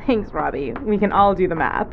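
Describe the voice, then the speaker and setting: A woman's voice: on the low side, moderate pace, vocal fry, narrow, tinny audio, noisy background. An actress playing a casual, teasing young woman who works as a spaceship medic. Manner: chatty, animated storyteller, casual and teasing. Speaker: ship medic.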